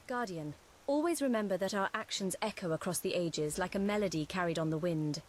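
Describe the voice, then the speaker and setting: Woman voicing a higher-pitched, courteous young mage scholar. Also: slight background noise, natural voice. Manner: formal, eloquent, gentle, measured. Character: courteous, earnest young female mage scholar and loyal companion. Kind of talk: scripted fantasy game dialogue.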